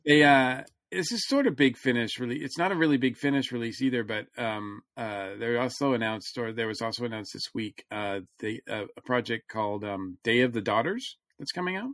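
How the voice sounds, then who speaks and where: A man co-hosting a podcast, chatting casually about fan news in a halting, hedging way. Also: mid-range voice, fairly bright tone, dry recording, clean studio sound. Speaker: podcast co-host.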